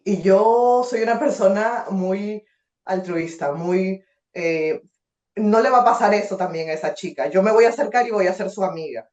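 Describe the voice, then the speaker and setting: A young Peruvian woman with a Peruvian Spanish accent, a guest telling her story in a casual interview, expressive and full of fillers and restarts. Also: medium pitch. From Peru. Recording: roomy, slightly dull sound.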